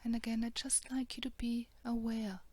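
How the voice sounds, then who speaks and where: A woman guiding a body scan meditation in a soft, hushed, measured way with long pauses. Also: a little high, little pitch movement, husky. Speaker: meditation guide.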